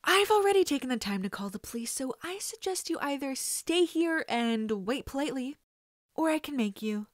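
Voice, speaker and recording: A woman's voice: high-pitched, expressive, moderate pace, dry recording, clean studio sound, voice pushed up. A woman voicing a defiant teenage boy hero in an audio story, cocky and taunting while bluffing through fear.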